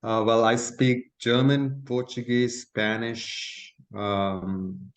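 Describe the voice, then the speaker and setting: A man's voice: mid-range voice, steady tone, extremely slow. A man whose first language is Malayalam, from south India, speaking earnestly and reflectively with frequent fillers as a guest on a video call. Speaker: guest participant. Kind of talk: casual talk at an online meeting. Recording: roomy echo on a call.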